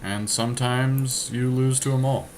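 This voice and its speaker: A man's voice: mid-range voice, steady tone, measured pace, a little mellow. A man giving casual commentary as he plays an online card game, thinking aloud. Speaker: podcast host playing an online card game. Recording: noticeable background noise, amateur screen capture.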